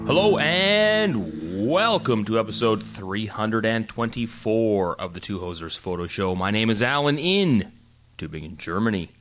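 A man's voice: on the low side, sing-song, a little slow, a bit bright, faint music behind. A middle-aged man co-hosting a podcast from home, chatting casually, joking and rambling with restarts. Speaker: podcast co-host. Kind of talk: casual chat. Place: home, Germany.

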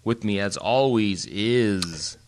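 A man's voice: fairly low pitch, slow pace, slight background noise. A middle-aged man co-hosting a podcast from home, chatting casually, joking and rambling with restarts. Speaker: podcast co-host. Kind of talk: casual chat. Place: home, Germany.

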